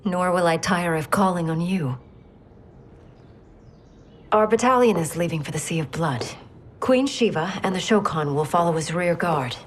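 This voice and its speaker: An actress playing a young warrior queen and dutiful daughter in a video game, composed and sincere, with a husky voice. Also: on the low side, moderate pace, long pauses, slight background noise, natural voice. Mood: affectionate. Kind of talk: video game cutscene acting.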